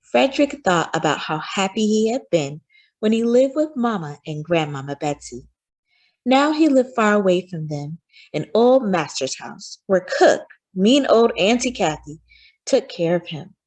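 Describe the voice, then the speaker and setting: Expressive woman reading a children's story. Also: medium pitch, a little slow. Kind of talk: scripted storybook narration.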